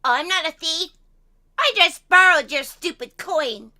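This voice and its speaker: Cartoon kid racer: feisty, defiant girl, extremely high pitch, very sharp bright tone. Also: expressive, unhurried, full character voice. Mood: snarky. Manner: sassy, defiant. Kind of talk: animated film table read.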